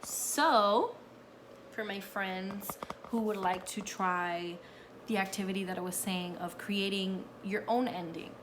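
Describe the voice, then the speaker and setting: A woman reading a children's story aloud in an expressive, teacherly way, with asides to her listeners. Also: mid-range voice, takes it slowly, noisy background.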